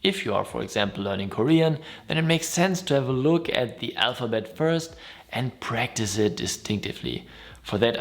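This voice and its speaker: A man with a German accent talks straight to his viewers. His voice sits in an ordinary middle pitch, and his delivery is friendly, upbeat and easygoing, like a casual explainer vlog. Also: vocal fry. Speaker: YouTube host.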